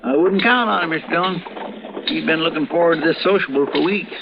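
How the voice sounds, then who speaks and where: Fretful frontier sidekick, high and gravelly, over a noisy, dull old broadcast. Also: male voice, fast talker. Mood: worried. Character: loyal, fretful young deputy sidekick man. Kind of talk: 1950s radio drama acting.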